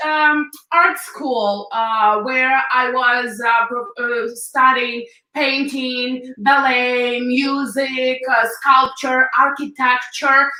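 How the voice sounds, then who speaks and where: Ukrainian-accented woman guest, high bright voice, echoey, a bit off-mic on a call. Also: recorded from a distance. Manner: fillers and non-native grammar, laughs often. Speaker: guest, hairstylist. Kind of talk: interview answers, telling her story.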